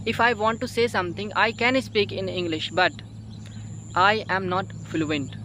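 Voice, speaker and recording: A man with an Indian accent and Hindi as his first language speaks in a high-pitched voice. He gives informal learning advice, pausing and repeating phrases for emphasis. Clear outdoor background noise sits behind him. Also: fairly even intonation, sharp tone. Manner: halting, repetitive, emphatic, frequent "you know" and "okay" tags. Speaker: English-learning video host, learner. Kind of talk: informal spoken advice to viewers.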